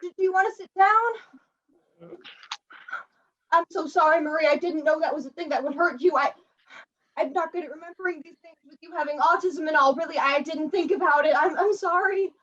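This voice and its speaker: Kindly, rough-edged older janitor played by a young woman, stage play, chatty and folksy; higher voice, dull recording. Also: fairly bright tone. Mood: flustered, apologetic. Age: young adult. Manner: folksy, rambling, halting. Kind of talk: student one-act stage play acting.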